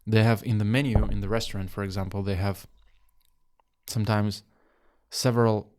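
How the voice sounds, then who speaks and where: Man, podcast host, somewhat low voice, rambling explanations. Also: little pitch movement, normal speed, darkish tone. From Russia. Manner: rambling, explanatory, many fillers. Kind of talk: casual interview chat.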